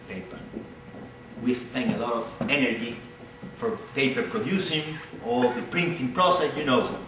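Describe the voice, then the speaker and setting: A man's voice: mid-range voice, recorded from a distance, background noise. Cuban-accented man lecturing in an echoey hall. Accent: Cuban Spanish. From Cuba. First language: Spanish. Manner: earnest, searching for words, repeats phrases. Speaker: visiting speaker. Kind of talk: public lecture.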